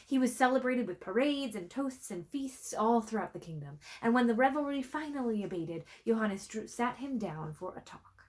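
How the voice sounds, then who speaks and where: A woman reading a storybook aloud in an expressive, dramatic way, letting her phrases drop into a hush. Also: fairly high pitch, moderate pace, hardly any pauses. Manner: dramatic, with hushed phrase endings. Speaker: storybook reader. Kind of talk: expressive read-aloud narration.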